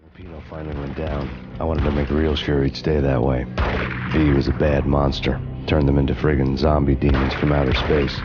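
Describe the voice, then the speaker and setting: A brooding noir avenger with an extremely low, flat delivery. He narrates his grim game story with weary, sardonic deadpan, like hardboiled detective fiction. Loud music plays behind him. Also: fairly quick, lo-fi sound. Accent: American.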